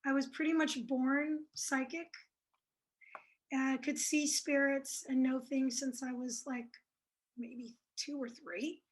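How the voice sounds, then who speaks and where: Shy, high-voiced woman with a French and Italian background. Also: level tone, slow pace, rough recording. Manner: shy, hesitant, many fillers. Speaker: psychic guest. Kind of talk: casual interview chat.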